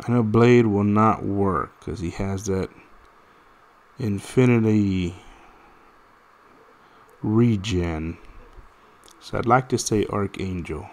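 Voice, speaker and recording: A man's voice: on the low side, a little mellow. A man in his thirties chatting casually and rambling through stories while playing a mobile fighting game, direct and full of restarts. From United States. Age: 30s. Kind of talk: casual gameplay commentary.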